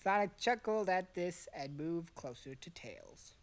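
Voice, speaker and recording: Woman reading fanfic out loud, lowish voice but lively and bright, casual and a bit exasperated. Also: measured pace, no echo. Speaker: narrator of a fan fiction reading. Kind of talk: amateur story narration among joking friends.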